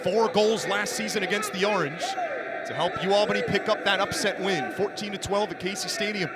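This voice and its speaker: A man doing live sports play-by-play with an excitable, punchy delivery. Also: high voice, normal speed, a loud crowd. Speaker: play-by-play announcer. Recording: loud arena noise behind commentary.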